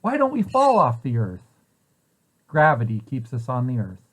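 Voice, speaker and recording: Man reading aloud, very animated pitch. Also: moderate pace, poor audio. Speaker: preschool site director reading aloud. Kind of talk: children's read-aloud of a picture book.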